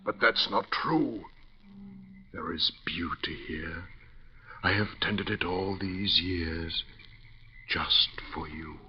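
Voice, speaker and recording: A deathless, obsessive suitor from old-time radio horror. His voice is low and unhurried, with courtly, portentous phrasing that pleads and threatens. The vintage recording is muffled. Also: a little hiss or hum. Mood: hushed, tender. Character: undying lovelorn male phantom suitor, courtly, menacing, obsessive.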